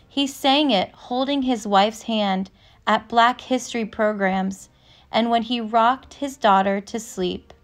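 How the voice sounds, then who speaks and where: Slow, measured woman reading a storybook. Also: on the high side. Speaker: narrator reading a picture book aloud. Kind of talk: storybook read-aloud, scripted narration.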